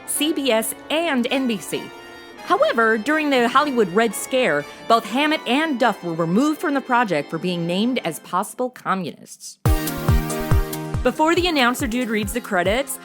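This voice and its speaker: A woman podcast host speaks directly to listeners in a scripted outro. Her voice is high and animated, with music underneath.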